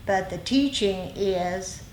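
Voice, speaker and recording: Slow-speaking woman teaching in an echoey room. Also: medium pitch, a little mellow. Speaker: dharma teacher. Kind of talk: informal dharma teaching. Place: meditation group meeting room. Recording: echoey room, faint background noise.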